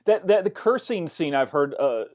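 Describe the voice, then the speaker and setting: A man's voice: a little high, expressive, moderate pace, darkish tone. A man in his forties hosting a casual interview from a home study, asking questions with eager, joking asides. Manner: jokey, enthusiastic questioning. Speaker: podcast host. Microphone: earbuds on video call.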